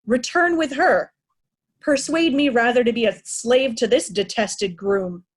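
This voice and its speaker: A woman's voice: a little high, breathy edge. A woman playing a proud aging king, raging at ungrateful daughters in a declamatory Shakespearean style. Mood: defiant. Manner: declamatory, deliberate, anguished. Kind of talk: Shakespearean reader's theatre acting.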